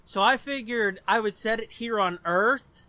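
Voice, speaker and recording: High-pitched, bright-voiced man chatting bluntly. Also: measured pace, no echo. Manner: profane, blunt, animated explaining. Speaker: podcast host. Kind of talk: casual chat.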